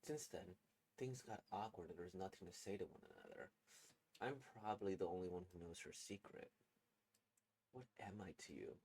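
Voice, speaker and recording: Man reading as a hushed, brooding, haunted student. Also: low voice, sing-song, brisk, gravelly, husky, heavily acted, rough recording. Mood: brooding, uneasy. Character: anxious, brooding student narrator haunted by a classmate's ghost. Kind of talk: dramatic horror manga reading.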